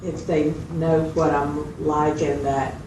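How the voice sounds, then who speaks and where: A woman's voice: deep voice, level tone, slow. A woman playing a candid psychiatric patient, talking hesitantly and plainly in short phrases. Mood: candid, subdued. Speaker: mental health patient in a consultation. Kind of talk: role-played clinical interview, conversational. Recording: distant mic, roomy echo, loud background noise.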